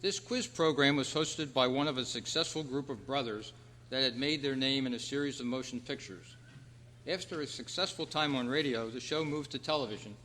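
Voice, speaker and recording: A man's voice: mid-range voice, a bit bright, slight background noise. A man hosting a trivia quiz at a club meeting, reading questions aloud to the room. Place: indoor meeting room at a convention. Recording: echoey hall, long reverb.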